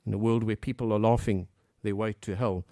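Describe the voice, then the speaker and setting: A man from Africa preaching a sermon earnestly, posing rhetorical questions and swinging between hushed and emphatic delivery. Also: on the low side, fairly even intonation, brisk, no room sound, studio quality.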